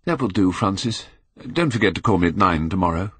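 A man voicing a light, courteous young British gentleman. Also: low-pitched, lively intonation, fairly quick, slightly rough, no echo, exaggerated voice. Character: polite young gentleman master, casually self-possessed. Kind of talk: audiobook character reading.

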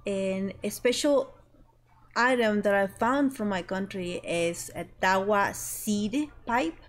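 A woman's voice: mid-range voice, takes it slowly. A young woman from Ecuador, a guest in casual chat, speaking carefully and searching for words. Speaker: guest entrepreneur. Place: small studio with green-screen set.

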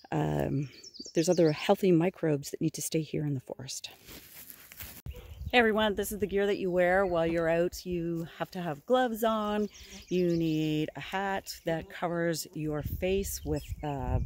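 Middle-aged woman, relaxed how-to talk, outdoors in the woods, phone held at arm's length, birds singing behind. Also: fairly low pitch, a little hiss or hum. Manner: gentle, admiring, unhurried explaining. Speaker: forager showing her land. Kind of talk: casual outdoor how-to narration. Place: spring woodland outdoors by a river. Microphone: phone camera held at arm's length.

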